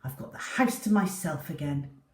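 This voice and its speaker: A woman voicing a grumpy but caring female pirate dog in a children's storybook, gruff and dramatic. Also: sits low, moderate pace, in a whisper, pitched below the performer's own voice, close to an everyday voice. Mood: smug. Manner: gruff, dramatic, growling and barking. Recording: echoey room.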